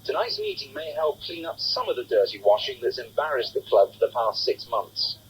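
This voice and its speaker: A man files a scripted sports report for radio. His voice sits at an average pitch with animated rises and falls, over a faintly noisy old broadcast. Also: a little fast, vocal fry. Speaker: sports correspondent.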